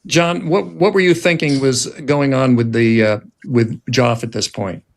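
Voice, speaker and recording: A man hosting a script reading as writer-director, narrating in a deliberate, suspenseful storytelling manner. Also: mid-range voice, steady tone, vocal fry, a little hiss or hum. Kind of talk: dramatic narration of screenplay action, then discussion. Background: loud instrumental music.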